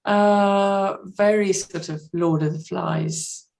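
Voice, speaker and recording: A woman guest on a podcast, discussing books casually in a measured, understated way, pausing to think. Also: mid-range voice, fairly even intonation, creaky, a little mellow.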